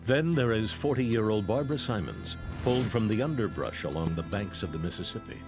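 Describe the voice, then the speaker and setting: American guy narrating true crime doc, low voice, really varied intonation, music behind him. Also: animated, a little fast. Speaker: documentary narrator.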